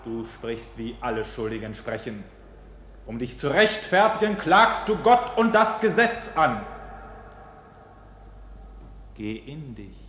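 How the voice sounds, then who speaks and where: Stern priest in a radio play, slow solemn sermon voice, big echoing hall. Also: male voice, medium pitch, sing-song, a bit bright, slight background noise. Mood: stern accusing. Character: stern, solemn priest of the court preaching guilt. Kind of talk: dramatic radio play acting. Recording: long church-like reverb, background ambience.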